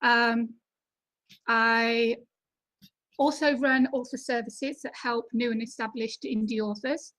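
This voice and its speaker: British woman, author guest, high voice, chatty with fillers. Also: steady tone, takes it slowly, a bit bright, low-quality recording. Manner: chatty, laughs easily, many fillers. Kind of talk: casual interview over video call.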